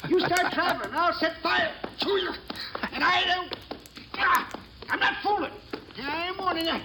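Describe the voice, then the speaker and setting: Very noisy old radio, high-pitched cranky american old-timer, blustery burro driver from a western. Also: male voice, a little slow. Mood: threatening, cussing. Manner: cranky, folksy, ungrammatical frontier talk. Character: cantankerous old male burro driver, blustering frontier coot. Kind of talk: 1950s radio western acting.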